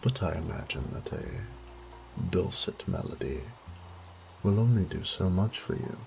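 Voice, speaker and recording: A man playing a suave, sadistic vampire lord in a horror audio roleplay, with a theatrical, ornate, taunting delivery that often drops to a breathy whisper. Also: sits low, animated, a little slow, a little mellow, faint music behind, heavily acted, poor audio. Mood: musing, taunting. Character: suave, sadistic male vampire lord toying with his captive prey.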